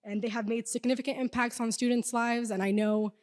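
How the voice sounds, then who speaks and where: A woman's voice: a little high, level tone, fairly quick, darkish tone. A young woman giving an earnest, emphatic prepared speech as a student speaker at a university event. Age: young adult. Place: large indoor hall, speaking to an audience. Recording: echoey hall with long reverb.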